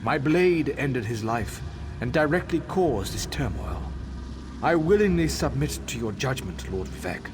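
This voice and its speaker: A man's voice: mid-range voice, animated, unhurried, natural voice. A man playing a dutiful, humbly loyal temple spy in a fantasy game, speaking with formal, earnest deference. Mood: remorseful, grave. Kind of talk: scripted fantasy game dialogue. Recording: game ambience noise behind.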